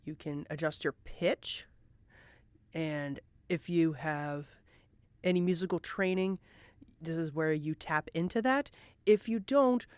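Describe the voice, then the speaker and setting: A woman's voice: sits low, slow, a little mellow, clean tone, no room sound. Encouraging woman teaching, in a dry recording. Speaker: voice actor giving a tutorial. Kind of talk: casual instructional talk to viewers.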